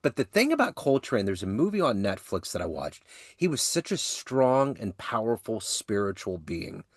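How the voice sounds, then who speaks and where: A man's voice: fairly low pitch, wildly swooping pitch, moderate pace, no echo, clean studio sound. A man hosting an interview podcast, sharing enthusiastic anecdotes and saying "you know" often. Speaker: podcast and jazz radio host. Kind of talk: casual interview chat.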